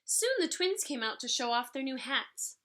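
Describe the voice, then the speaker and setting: A woman reads a children's picture book aloud in a fairly high, very bright voice. Her delivery is expressive and instructive, like a music teacher guiding young pupils through the story. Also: moderate pace. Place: living room at home, indoors. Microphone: device mic about arm's length away.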